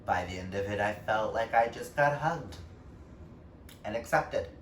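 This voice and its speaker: A man with a fairly low voice chats informally to his viewers as a book vlogger. He speaks slowly and expressively, with emphatic exclamations. The sound is roomy and echoey, picked up some way from the mic. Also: animated, fairly bright tone. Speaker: book YouTuber. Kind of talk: casual chatty vlog talk to camera. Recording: roomy echo, distant mic, faint noise.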